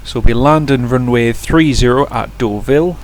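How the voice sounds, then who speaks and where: A man's voice: mid-range voice, normal speed. A man giving casual live-stream commentary over a flight simulator, chatting with viewers and often restarting his sentences. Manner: chatty, answers viewer chat, self-correcting restarts.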